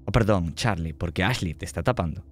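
A man narrating a horror tabletop game as game master, slow and hushed, voicing small sound effects. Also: low-pitched, expressive, a little hiss or hum.

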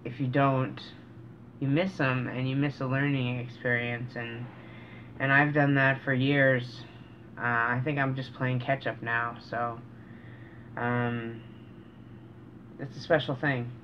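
A middle-aged man speaks slowly and earnestly in a reflective, casual vlog style, often halting mid-sentence. His voice sits at an average pitch. The recording is dull and a bit lo-fi, made in a small room with noticeable background noise. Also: flat delivery. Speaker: vlogger. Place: small cluttered room indoors. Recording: dull, noisy home recording.

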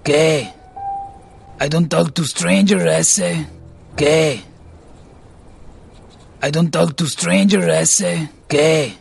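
An actor playing a wary, standoffish reptile street thug with a gravelly, husky voice in a cartoon noir game. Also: male voice, a little high, extremely slow, pauses often, heavily acted. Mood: wary, dismissive.

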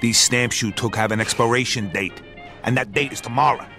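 An actor playing a hard-nosed, businesslike mobster fixer in a game cutscene, speaking tersely and bluntly with an American accent. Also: male voice, mid-range voice, brisk, sharp tone, soft background music. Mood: irritated. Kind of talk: video game cutscene acting.

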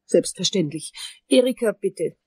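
A woman playing a composed, courteous dentist with brisk authority in a crime radio play. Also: medium pitch, lively intonation, dark tone, husky, no room sound, full character voice. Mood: polite, businesslike.

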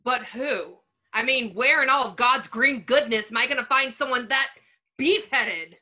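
Woman as a shrill, flustered male fashion villain. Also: moderate pace, sharp tone. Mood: panicked protest. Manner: exclaiming, protesting. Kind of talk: amateur comedy table read. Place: home, on a group video call. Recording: muffled, noisy video-call audio.

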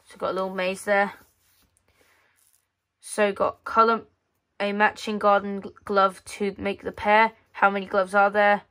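Casual female read-aloud voice, medium pitch, halting, with offhand muttered asides. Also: flat delivery, a bit bright. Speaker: magazine reviewer. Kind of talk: casual read-aloud walkthrough of a children's magazine. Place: indoors at a tabletop.

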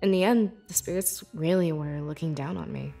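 A woman playing a young, devoted beast-folk scribe in a fantasy video game, with earnest, measured and expressive delivery. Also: low-pitched, vocal fry, faint music behind. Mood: reflective, sincere. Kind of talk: scripted video game character dialogue.

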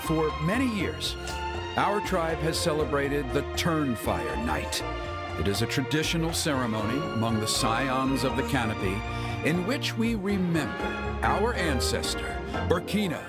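A stern middle-aged village elder in a fantasy game. He speaks formally and proudly, with animated swings of pitch, over loud music. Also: a little slow. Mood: grave, explaining. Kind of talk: video game voice acting.

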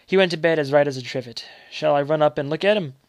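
A man's voice: fairly high pitch, fast talker, dry recording, natural voice. A man reading a story and voicing a startled, polite nursery governess. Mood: flustered.

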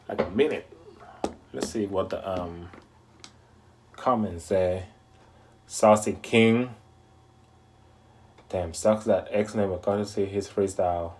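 A man's voice: sits low, a little mellow, a little hiss or hum, poor audio. Laid-back man rambling at home. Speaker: reaction video host. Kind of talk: casual reaction commentary. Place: small room at home, indoors.

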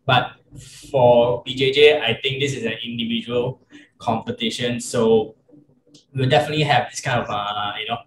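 A young man hosts a relaxed webcam podcast, chatty and easygoing. His voice sits at a middling pitch. The sound carries a noticeable room echo. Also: normal speed, a crowd in the background. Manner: easygoing, chatty, lots of "you know". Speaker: podcast host. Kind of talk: casual video-call interview. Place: small room indoors. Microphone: video call webcam. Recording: roomy echo on a video call.

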